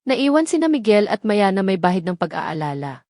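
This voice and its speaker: A woman's voice: mid-range voice, sing-song, brisk, warm tone, no room sound, studio quality. A woman narrator reading a scripted dramatic story.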